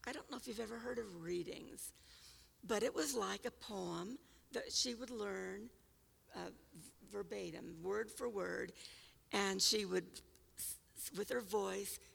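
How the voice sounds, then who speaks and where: Raspy older woman, slow and reflective. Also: mid-range voice, fairly bright tone, big-room sound. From California. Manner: unhurried, reflective, with small restarts. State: raspy-voiced this morning. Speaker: storyteller. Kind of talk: personal reminiscence.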